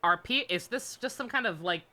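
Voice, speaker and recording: A woman's voice: lowish voice, expressive, a little fast, bright, forceful tone. A woman streaming a game with casual live commentary, blunt and slangy, swearing freely.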